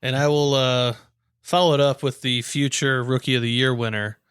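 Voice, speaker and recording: A man's voice: medium pitch, steady tone, moderate pace, a bit bright, clear, resonant tone, no room sound, studio quality. A man co-hosting a sports podcast, chatting casually with the host and a guest.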